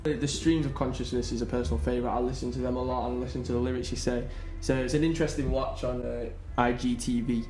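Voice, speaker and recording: A man hosts the chat, warm-toned and mellow, cheering his guest on with easy banter. His voice rings in an echoey room with a little music in the background. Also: medium pitch, level tone, fast, hardly any pauses. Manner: encouraging, hype-man banter. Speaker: host. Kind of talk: casual interview chat. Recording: echoey studio with music behind.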